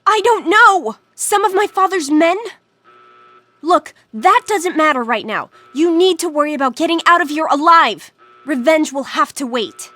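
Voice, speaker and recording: A woman's voice: sits high, animated, moderate pace, pushed and bright, no echo. A woman playing an anxious, loyal teenage girl in a video game, earnest and pleading. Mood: urgent, impatient. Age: young adult. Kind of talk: video game dialogue acting.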